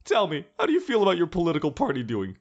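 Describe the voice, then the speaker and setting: A man's voice: on the high side, huge pitch swings, rapid-fire, highs cut off, full character voice. A man playing a gleefully menacing young tyrant president in an audio drama, sarcastic and mock-friendly. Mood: mock delight.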